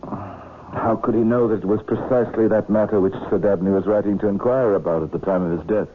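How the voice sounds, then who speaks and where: An actor playing a measured magistrate in a radio mystery, asking clipped, understated questions with dry calm. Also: male voice, on the low side, lightly acted. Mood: probing, calm. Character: measured, dryly probing male island magistrate investigating a death. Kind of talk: 1970s radio mystery acting. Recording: muffled phone-like old radio, faint noise.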